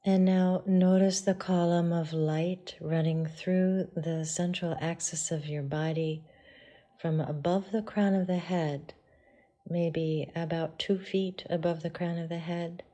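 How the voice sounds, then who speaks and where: A woman teaching yoga meditation, guiding listeners in a soft, hushed delivery with pauses. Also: lowish voice, fairly even intonation. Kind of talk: guided meditation.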